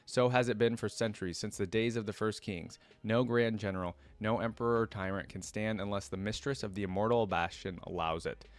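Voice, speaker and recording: A man voicing a cunning, manipulative female sorceress in a calm, measured reading. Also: on the low side, lightly acted. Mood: scheming.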